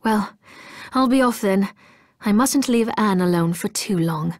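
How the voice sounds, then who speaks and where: A woman playing a courteous, loyal, gentle ally in a video game, with polite, formal speech. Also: mid-range voice, measured pace, dry recording, clean studio sound, natural voice. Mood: earnest. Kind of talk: video game character acting.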